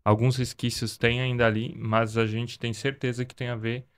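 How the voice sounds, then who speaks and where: Smooth-voiced man, earnest storyteller. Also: medium pitch, level tone, moderate pace. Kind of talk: casual conversation.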